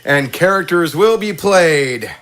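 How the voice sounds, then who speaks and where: A man's voice: a little high, expressive, slow, fairly bright tone, clear, resonant tone. A man acting as game host, casually introducing and reading out character descriptions, often chuckling as he goes. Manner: chuckles through his announcements.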